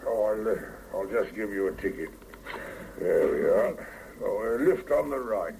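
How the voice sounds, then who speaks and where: An actor playing a fussing working-class shop attendant in a 1970s radio drama, hesitant, muttering and colloquial. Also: male voice, on the low side, expressive, a little slow, vocal fry, darkish tone. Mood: helpful. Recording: faintly noisy 1975 radio cassette recording.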